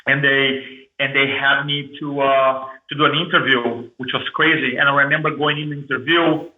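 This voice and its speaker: A man from Brazil with a thick Brazilian Portuguese accent, telling his story as an interview guest in an energetic, restarting way. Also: medium pitch, moderate pace. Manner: energetic, repeats and restarts words. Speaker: guest, public speaking coach. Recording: echoey room over phone-like line.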